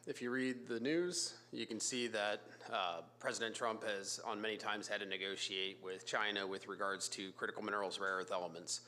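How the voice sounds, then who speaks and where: A man with a raspy voice from a cold, a company executive making a casual, filler-heavy pitch to a city council. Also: fairly even intonation, sharp tone, slight background noise. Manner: informal, fillers and restarts, brisk business pitch. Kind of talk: public comment at a council meeting. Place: council chamber.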